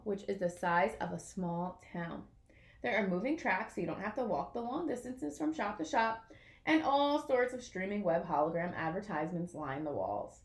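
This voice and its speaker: A woman reading a children's picture book aloud in an expressive way, as if to young listeners. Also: medium pitch, creaky, roomy sound. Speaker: storybook reader.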